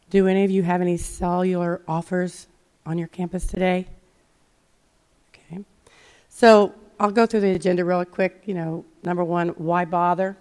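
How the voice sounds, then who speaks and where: A middle-aged woman giving a conference presentation, hoarse from losing her voice, chatty with many fillers. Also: fairly low pitch, a little mellow. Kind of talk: conference talk. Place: conference session room.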